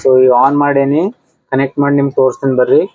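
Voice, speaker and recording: A man's voice: medium pitch, monotone, fast talker, a little mellow, dry recording, highs cut off. A man with a North Karnataka accent giving a casual product unboxing and review, in a repetitive way with short exclamations. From Karnataka, India.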